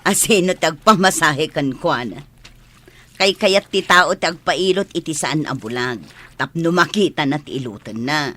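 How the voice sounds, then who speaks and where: A woman's voice: low voice, animated, normal speed, sharp tone, full character voice. A woman with a northern Philippine accent playing a sassy, teasing villager in a radio comedy-drama. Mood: mocking, nosy. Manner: teasing, questioning.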